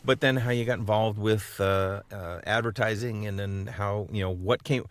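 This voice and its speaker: A man's voice: lowish voice, fairly even intonation, normal speed, dry recording. An American man hosting a casual interview podcast in a rambling, loosely worded and jokey way. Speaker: podcast host.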